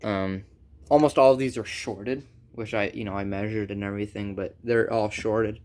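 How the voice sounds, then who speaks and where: Man, lowish pitch, smooth clear tone, casual hobbyist how-to, halting, thinking aloud. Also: steady tone, a little mellow. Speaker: hobbyist electronics repair presenter. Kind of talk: casual unscripted how-to narration.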